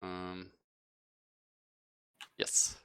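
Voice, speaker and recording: A man's voice: very slow, sharp tone, dry recording, studio quality. A man casually running a live tabletop roleplaying game as game master, with slangy, profane narration.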